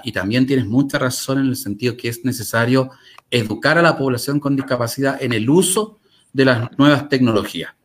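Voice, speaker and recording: A man's voice: medium pitch, fairly bright tone. A man with a Chilean Spanish accent talking conversationally as an interview guest, articulate and emphatic, repeating phrases to drive points home. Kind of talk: conversational storytelling and commentary.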